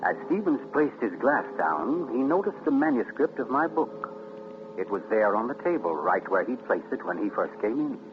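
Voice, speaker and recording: An actor playing a grave author who narrates suspense in a deliberate, dramatic 1940s radio style. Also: male voice, mid-range voice, sing-song, noisy background, close to an everyday voice. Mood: grave, suspenseful. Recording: dull 1940s radio recording. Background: music.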